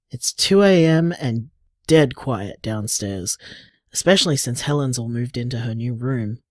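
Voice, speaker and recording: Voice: mid-range voice, a little mellow, no echo, clean studio sound. An actor playing an affectionate, emotional partner leaving candid, conversational voice messages for a lover in an audio drama. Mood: tender, hopeful. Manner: candid, tender, conversational, sighing, mild swearing.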